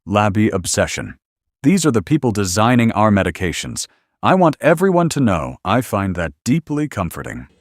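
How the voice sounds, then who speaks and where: A man playing a skeptical, sarcastic podcast listener growing unnerved by a horror tale, reacting with deadpan disbelief. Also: lowish voice, normal speed, dry recording, studio quality. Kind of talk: scripted horror podcast banter.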